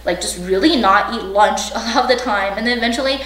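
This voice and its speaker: A woman's voice: on the high side, quick pace, a bit bright. A young woman from China and the United States casually recounting personal memories in an informal, hedging way as an interviewee. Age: young adult. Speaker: oral history interviewee. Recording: roomy, distant mic, faint background noise.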